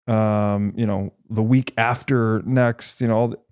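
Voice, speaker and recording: A man hosting a podcast, explaining ideas conversationally in a thoughtful, measured and halting way as he reasons aloud. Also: lowish voice, little pitch movement, a little mellow, smooth. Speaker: podcast host.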